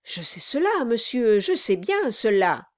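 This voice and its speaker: A woman voicing a servile, flattering male lawyer in an audiobook, obsequious and deferential. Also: on the high side, a little slow. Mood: fawning. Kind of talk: dramatized audiobook reading.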